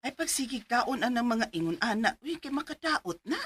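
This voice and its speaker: A woman's voice: on the high side, sing-song, moderate pace, fairly bright tone, husky, heavily acted. An actress playing a middle-aged, fussy but caring mother in a Filipino radio drama, nagging expressively with a Cebuano accent. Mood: scolding, worried. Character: fussy, caring, scolding mother of grown son.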